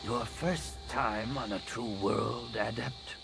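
A man voicing a stern alien woman of rank, a master scientist, speaking in a slow, hushed, imperious way for a story. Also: mid-range voice, fairly even intonation. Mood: commanding. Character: stern female alien master scientist nearing middle age. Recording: clearly noisy recording.

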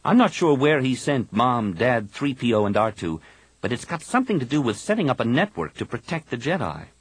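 A man voicing a hot-tempered young woman Jedi with a sharp, heated way of speaking. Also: mid-range voice, big pitch swings, brisk, breathy edge, no echo, voice pushed up, poor audio.

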